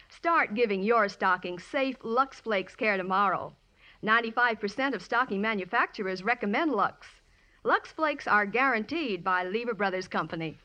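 A woman's voice: fairly high pitch, sharp tone, dull audio. A woman delivering a scripted 1950s radio advertisement in a polished, emphatic sales manner. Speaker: radio commercial announcer.

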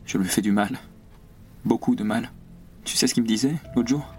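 An actor playing an earnest young idealist revolutionary, anguished and fervent, with halting, repeated words in a French radio play. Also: male voice, medium pitch, a little mellow, close to an everyday voice. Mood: sorrowful, subdued. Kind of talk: French radio theatre acting.

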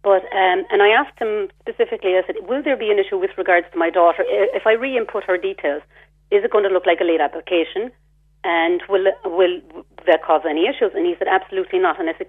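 A woman's voice: mid-range voice, fast. An Irish woman calling in to a radio show, describing her complaint in detail and with a sense of grievance. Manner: aggrieved, detailed, retells her exchanges. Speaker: caller. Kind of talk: phone-in radio call. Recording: phone line.

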